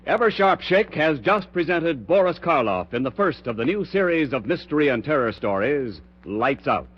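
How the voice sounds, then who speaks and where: A man's voice: on the high side, sing-song, normal speed. An American man announcing and reading ads on 1940s radio in an emphatic, polished sales manner. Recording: muffled 1940s radio recording, music.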